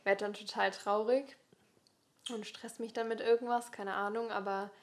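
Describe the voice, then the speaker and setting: A woman's voice: medium pitch, flat delivery, moderate pace. A young woman co-hosting a podcast in casual chat with a friend, colloquial and emphatic in the way she talks. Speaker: podcast co-host.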